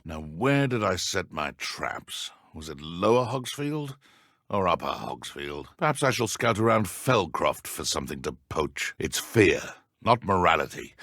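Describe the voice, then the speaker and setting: A man playing a cruel, sneering British dark wizard poacher in a video game, taunting with mock-polite threats. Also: sits low, dry recording, clean studio sound. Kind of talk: video game villain combat barks and idle lines.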